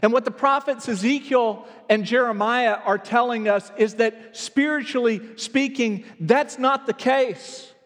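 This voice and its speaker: Man, pastor, southern US, sermon, earnest, reflective, unhurried, church hall reverb. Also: very high voice, a bit bright. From American South. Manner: earnest, reflective preaching with rising emphasis.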